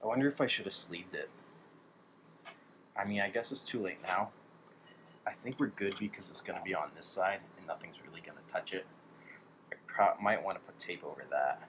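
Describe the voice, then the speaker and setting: A man's voice: low voice, fairly quick, pauses often, noisy background. A man thinking aloud in a casual, hesitant way, half talking to himself as he narrates hands-on hobby work. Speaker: DIY electronics hobbyist narrating his work.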